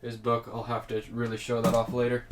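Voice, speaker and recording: A young man hosting his own show, casually talking with a raspy, slightly hoarse voice from sinus allergies, rambling earnestly and correcting himself. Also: medium pitch, monotone, a little fast, background noise. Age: young adult. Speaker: host. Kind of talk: casual solo podcast talk. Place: home recording room. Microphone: desk USB microphone.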